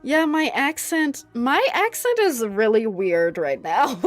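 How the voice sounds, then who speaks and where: A woman's voice: high-pitched, big pitch swings, slow pace, soft background music. A young woman from the Netherlands, a native Dutch speaker, chatting casually and rambling with many fillers while streaming a game, often breaking into giggles. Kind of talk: casual chat while gaming.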